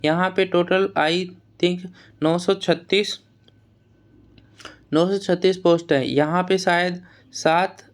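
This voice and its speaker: A man with a high-pitched, clean and smooth voice that stays within a narrow, even range. He explains things informally to students as an exam news vlogger. His delivery is plain-spoken and admonishing, with words and phrases often repeated. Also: slow, pauses often. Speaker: exam news vlogger advising job aspirants.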